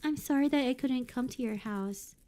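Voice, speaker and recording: Streamer voicing a clingy yandere schoolgirl: soft, sugary, doting, pitched slightly high. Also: moderate pace, close to an everyday voice. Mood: apologetic.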